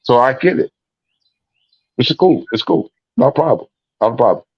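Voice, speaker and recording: A man with a deep voice, a guest in a casual livestream chat, laid-back and repeating his phrases. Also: animated, normal speed, slightly rough. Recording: dull lo-fi call audio.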